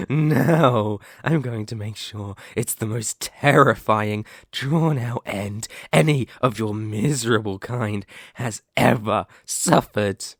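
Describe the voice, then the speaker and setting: A man's voice: mid-range voice, animated, no echo, studio quality. A man playing a sadistic, predatory lamia in an ASMR horror roleplay, taunting and menacing. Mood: angry, threatening. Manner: taunting, menacing, slow deliberate delivery with gloating lilts. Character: sadistic, predatory male lamia, half-snake monster toying with prey.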